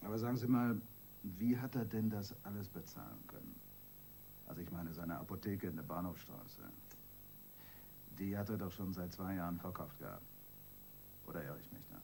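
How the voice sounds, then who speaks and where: Low, mellow, sardonic police detective. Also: male voice, sing-song, normal speed, creaky, pauses often, a little hiss or hum, heavily acted. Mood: probing. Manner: colloquial, dry, musing, with tag questions. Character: seasoned, sardonic male police detective superior. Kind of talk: radio crime drama acting.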